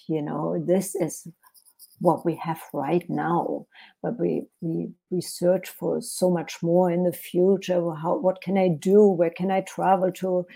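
A woman's voice: on the low side, gravelly, dark tone, slightly rough, rough recording. A woman from Germany with a German accent, an author talking reflectively in an interview, often searching for words. English is her second language. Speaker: author guest. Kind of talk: interview conversation.

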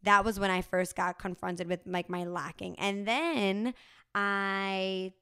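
A woman's voice: medium pitch, slow pace, smooth, dry recording, studio quality. A woman in her thirties from New Jersey, chatting casually and telling personal stories with lots of fillers. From New Jersey, USA. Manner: animated storytelling with frequent fillers. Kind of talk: casual conversational chat.

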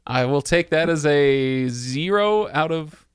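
A man's voice: a little high, animated, slow pace, clear, resonant tone, no echo, studio quality. A man hosting a casual panel chat, with a joking, rambling way of telling things. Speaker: podcast host.

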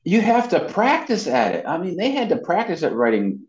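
A man's voice: high-pitched, huge pitch swings, a little fast, echoey room, highs cut off. A man giving casual, explanatory answers as an interview guest, stressing his points by repeating them. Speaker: interview guest, web marketing consultant and voice actor.

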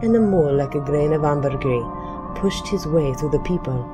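A woman with a slightly low voice narrates a dramatic tale. Her storybook reading is formal and theatrical, laid over loud music. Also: animated, moderate pace.

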